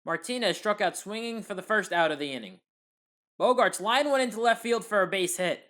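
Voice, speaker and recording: A woman with an American accent delivering scripted play-by-play recap commentary as a sports highlights narrator. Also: expressive, a little fast, a bit bright.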